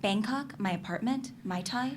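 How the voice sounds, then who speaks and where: A woman's voice: fairly high pitch, moderate pace, background noise, close to an everyday voice. An actress reading the part of a candid, skeptical girlfriend in a staged reading of a novel, in a conversational, questioning way. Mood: puzzled, probing. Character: candid, curious, skeptical girlfriend probing her lover's secrets.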